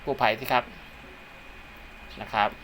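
A man's voice: a little slow, slight background noise. A man narrating a casual hands-on demo of radio equipment at home, talking steadily and politely. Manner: steady, polite, ends nearly every phrase with a polite particle. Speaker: amateur radio hobbyist vlogger. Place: room at home by a window.

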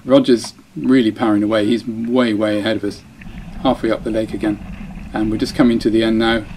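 A man's voice: fairly low pitch, flat delivery, fairly quick, a little mellow, a little hiss or hum, rough recording. A man narrating his own dinghy race, chatty and self-deprecating, often breaking off mid-phrase. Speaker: dinghy racing sailor. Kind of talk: voice-over commentary on race footage.

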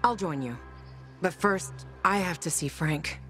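A woman's voice: lowish voice, unhurried, fairly bright tone, faint music behind. An actress voicing a blunt, tough, foul-mouthed young woman fighter, wry and loyal, in a video game. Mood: matter-of-fact. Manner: blunt, swearing, conversational. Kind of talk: video game dialogue acting.

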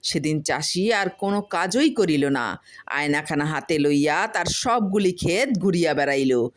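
A woman reading a humorous folk story as scripted narration, in an expressive, literary storytelling manner. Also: low voice, moderate pace, a little hiss or hum.

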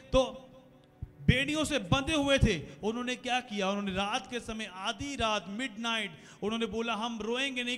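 A man in his thirties preaching a sermon to a congregation on a handheld mic, asking the crowd questions and growing louder toward the close. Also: very high-pitched. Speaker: pastor. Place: large decorated church hall, indoors. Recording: long hall reverb with faint music.

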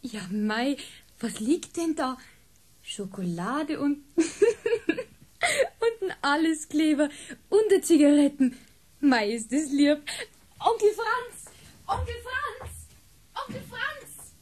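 An actress playing an affectionate, teasing sixteen-year-old niece in a radio play, gushing and playful, with a Bavarian accent. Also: female voice, sits high, big pitch swings, slow, pauses often, a little mellow, exaggerated voice. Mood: delighted surprise.

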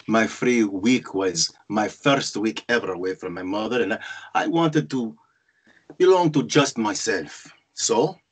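A man's voice: on the low side, husky. A man playing an ambitious, wry young Egyptian immigrant freshman, narrating his own story in a screenplay table read over a video call. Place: indoors at home, group video call. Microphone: computer or headset on video call.

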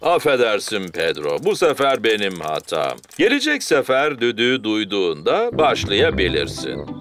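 A man playing a cheerful, bumbling father pig in a children's cartoon, animated and good-natured. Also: on the low side, moderate pace, faint music behind. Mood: apologetic.

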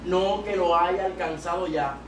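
A man's voice: sits high, a little slow. A young man with a Puerto Rican Spanish accent preaching an exhorting sermon at a youth service from a church pulpit, holding a handheld mic. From Puerto Rico. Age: young adult. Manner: exhorting, rising to loud emphatic peaks. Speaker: evangelist preacher. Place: small church sanctuary at the pulpit. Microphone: handheld mic, filmed from a far camera. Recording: echoey church room, far camera, noisy.

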